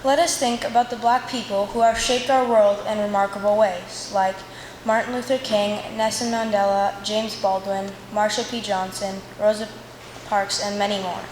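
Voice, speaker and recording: A woman reads a prepared speech in a measured, formal way, her pitch in a middle range. The voice rings through a big space with a long tail of reverb. A faint hiss of room noise sits under it. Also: steady tone. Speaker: student speaker. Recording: echoey hall with faint noise.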